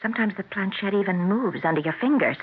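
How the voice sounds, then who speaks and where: A woman's voice: medium pitch, lively intonation, quick pace, breathy edge. A woman playing an earnest, coaxing middle-aged suburban hostess with an American accent, in 1970s radio drama style. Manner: coaxing, insistent. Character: earnest suburban hostess, devoted to her Ouija board. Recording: narrow-band 1970s radio recording.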